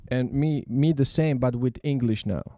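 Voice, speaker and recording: A man whose first language is Slovak, hosting a podcast and chatting eagerly, full of quick "yeah"s and lively anecdotes. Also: medium pitch. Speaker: podcast host. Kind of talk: casual interview chat.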